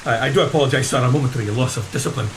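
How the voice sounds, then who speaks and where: An actor playing an earnest, formal ship's first mate in a gothic horror audio drama, stammering when agitated. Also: male voice, medium pitch, very fast, close to an everyday voice. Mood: contrite, clipped. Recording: loud rain and storm noise behind.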